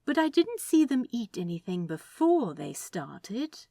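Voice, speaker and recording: A woman's voice: on the high side, sing-song, measured pace, gravelly, warm tone, no echo, clean studio sound, pitched above the performer's own voice, heavily acted. A woman voicing a prim, curious male angel with a hesitant, polite, fussy way of talking in a story reading. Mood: earnestly puzzled.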